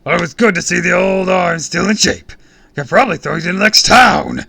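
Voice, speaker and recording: A man voicing a huge, volatile comic-book supervillain, loud and booming, bellowing explosive threats in a storybook reading. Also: brisk, vocal fry, breathy edge, full character voice. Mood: boastful, loud.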